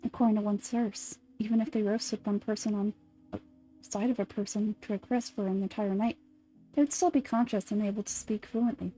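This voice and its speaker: A woman voicing a calm adult nurse in an anime fan dub, speaking in a soft, measured, lecturing way as she dwells on gruesome details. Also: mid-range voice, faint music behind, close to an everyday voice, rough recording. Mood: macabre, relishing. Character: calm adult female nurse relishing grisly history.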